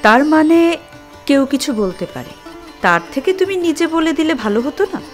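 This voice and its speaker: A woman playing a trusting, gently frank young woman in love in an audio drama. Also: sits high, sing-song, fairly quick, soft background music. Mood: tender, frank.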